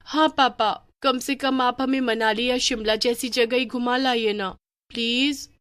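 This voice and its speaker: A woman voicing an excitable, easily scared young girl in an animated children's story. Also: on the high side, measured pace, clear, resonant tone, pitched above the performer's own voice. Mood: pleading.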